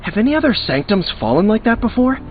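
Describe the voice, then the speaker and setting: Game part: chatty, good-hearted young hero, very animated, thin sound with faint music. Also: male voice, high voice, fast talker. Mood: concerned. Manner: rambling, earnest, casual. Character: youthful earnest male superhero, chatty and good-hearted. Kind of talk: video game voice acting. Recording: tinny band-limited game audio with music.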